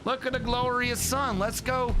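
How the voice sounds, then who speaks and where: A man's voice: very high-pitched, little pitch movement, loud music behind the voice, voice pushed up. A man putting on a drawling Southern rancher. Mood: unhurried. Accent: put-on American Southern. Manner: unhurried, lecturing drawl.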